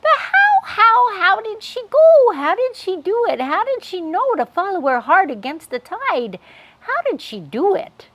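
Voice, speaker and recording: A woman's voice: very high voice, sing-song, measured pace, fairly bright tone, no room sound, voice pushed up, full character voice. A woman voicing a young chickapig boy in a storybook, plaintive and full of earnest, insistent wonder. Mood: urgent wonder.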